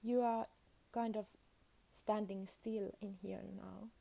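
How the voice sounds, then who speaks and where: Slow, creaky-voiced woman guiding meditation. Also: mid-range voice, level tone, warm tone. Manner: soothing, unhurried, with long pauses between short phrases. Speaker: meditation and yoga guide. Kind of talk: guided meditation.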